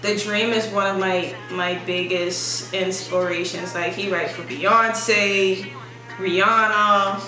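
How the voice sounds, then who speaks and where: A young woman with a gentle lilt talks easily about her music and style, drifting loosely from thought to thought. Her voice sits at a middle pitch. She is caught from some distance in an echoing room, with music clearly audible underneath. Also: takes it slowly. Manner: soft-spoken, relaxed, loose rambling phrasing. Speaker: musician guest. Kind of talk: casual interview answers.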